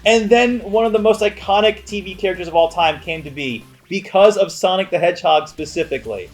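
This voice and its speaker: A man's voice: high voice, a little fast, faint music behind. A man co-hosting a casual movie review, emphatic and opinionated as he banters. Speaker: movie review show co-host.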